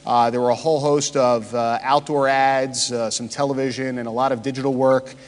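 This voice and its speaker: A man giving a conference presentation, pausing often with many "uh" fillers. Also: medium pitch, flat delivery, a little hiss or hum. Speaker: conference speaker. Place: large conference hall. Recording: reverberant hall.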